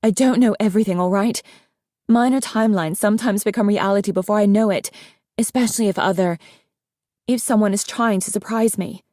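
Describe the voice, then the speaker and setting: A woman's voice: mid-range voice, fairly quick, dry recording, clean studio sound, close to an everyday voice. Woman voicing an outspoken, intense young female dragon. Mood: outraged, exasperated. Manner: forceful, accusing.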